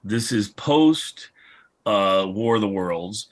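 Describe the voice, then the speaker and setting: A man's voice: on the low side, lively intonation, slow. A man hosting a panel discussion, putting questions in a conversational way. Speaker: panel moderator.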